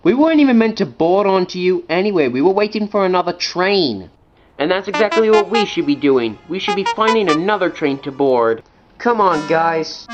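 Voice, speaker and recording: A man's voice: sits high, expressive, normal speed, lo-fi sound, music in the background. A man voicing offended but polite train passengers in a cartoon, protesting as they speak. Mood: indignant.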